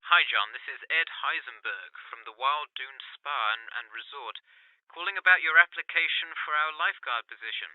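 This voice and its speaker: A thin, narrow phone line carries a man acting as a courteous, businesslike employer. His pitch is middling for a man and the sound is dry. He speaks in the tidy manner of a scripted interview. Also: animated, moderate pace, no room sound. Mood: polite, businesslike. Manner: polite, businesslike. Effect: telephone filter. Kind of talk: scripted listening-test dialogue.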